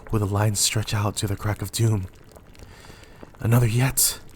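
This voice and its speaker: Man acting brooding ruthless king, low pitch, slow declamatory delivery, Shakespeare drama, weighty. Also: fairly even intonation, a little hiss or hum, close to an everyday voice. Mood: horrified. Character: brooding, tormented tyrant king, imperious and ruthless.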